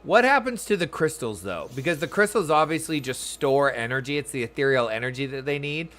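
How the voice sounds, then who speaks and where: A man's voice: mid-range voice, animated, fairly quick, a bit bright. A man streaming a game, giving casual commentary and musing aloud informally as he chats with viewers.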